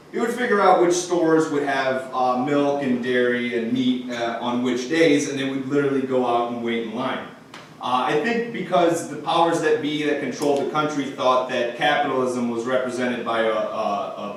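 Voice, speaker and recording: A man from Romania telling a childhood story on stage in a loose, halting way with frequent uh's. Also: medium pitch. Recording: echoey room mic, far off, faint noise.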